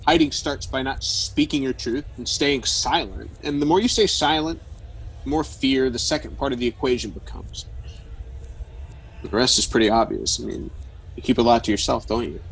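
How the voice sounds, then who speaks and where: A man's voice: medium pitch, normal speed, creaky, breathy edge, low-quality recording. An actor playing a blunt, flirtatious man who talks like a probing amateur therapist in an audio drama. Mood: earnest, explanatory. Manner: frank, probing, explanatory.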